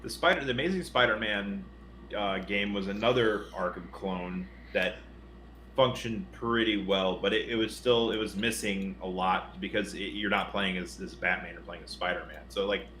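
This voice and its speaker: Deep male voice, forceful edge, rambling casual podcast chat, echoey room. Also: moderate pace. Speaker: podcast co-host. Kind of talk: casual chat about games and movies. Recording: roomy sound, faint noise.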